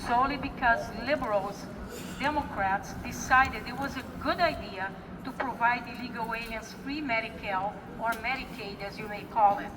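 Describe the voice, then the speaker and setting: A woman's voice: a little high, moderate pace, noisy background. Woman rally speaker, emphatic repeated lines, heard from far off through a PA with long reverb. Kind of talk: prepared political rally speech. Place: outdoor rally at a state house. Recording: distant echoey outdoor PA recording.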